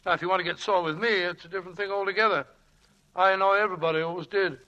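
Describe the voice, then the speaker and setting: An actor playing a bumbling, good-natured English gentleman chum with a blustery, dithering British delivery in a 1940s radio play. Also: high-pitched, fairly even intonation, rapid-fire, highs cut off. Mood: amiable. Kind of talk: 1940s radio drama acting. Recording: noisy vintage radio broadcast.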